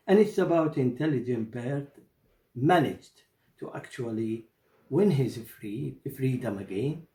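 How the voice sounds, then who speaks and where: A middle-aged man from Iraq, a native Arabic speaker with an Iraqi accent, storytelling and explaining in deliberate, expressive, halting phrases. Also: mid-range voice. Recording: roomy echo.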